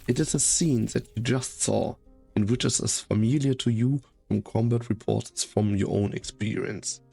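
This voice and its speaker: A man's voice: mid-range voice, moderate pace, creaky, warm tone, slightly rough, faint music behind. A man playing the grave narrator of a second-person sci-fi story in an amateur fan dub. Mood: grave, suspenseful.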